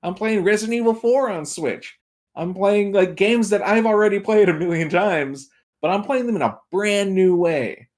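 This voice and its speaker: A man's voice: high-pitched, moderate pace, darkish tone. A man speaking casually and enthusiastically as a guest on a video game podcast.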